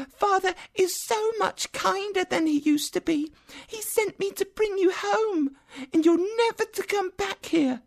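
Casting: a loving little sister, squeaky-high, childlike and happy, for a storybook. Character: little girl, sweet excited loving younger sister.

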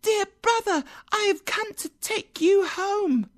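Casting: a loving little sister, squeaky-high, childlike and happy, for a storybook. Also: takes it slowly, fairly bright tone, full character voice. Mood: happy, excited. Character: little girl, sweet excited loving younger sister.

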